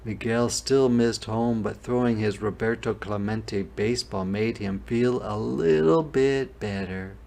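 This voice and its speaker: A man reading a children's storybook aloud as a teacher, pausing to explain things. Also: on the low side, vocal fry, darkish tone.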